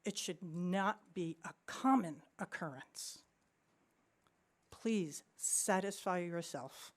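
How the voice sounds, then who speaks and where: Woman, lowish voice, impassioned unprepared public commenter, halting and insistent. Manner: earnest, pleading, halting with restarts. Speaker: parent giving public comment. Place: public meeting room.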